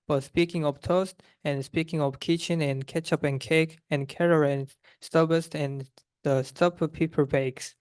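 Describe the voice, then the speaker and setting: Clear, higher-pitched young man reading aloud. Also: no room sound. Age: young adult. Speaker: university student reader. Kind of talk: reading a children's rhyming story aloud.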